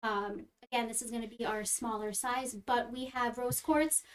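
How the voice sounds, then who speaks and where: A woman who works as a crystal healer earnestly explains the stones on a live stream, with many small pauses. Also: fairly high pitch, fairly even intonation, a little hiss or hum. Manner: earnest, explanatory, frequent ums.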